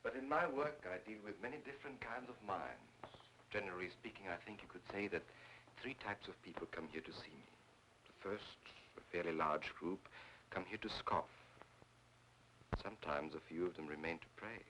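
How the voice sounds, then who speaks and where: An actor playing a smooth, controlling spiritualist medium, speaking in measured, theatrical phrases in a mid-century film noir style. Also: male voice, deep voice, sharp tone. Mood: smooth, persuasive. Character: smooth, solemn male spiritualist medium, softly persuasive and controlling. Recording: old film soundtrack, noisy background hiss.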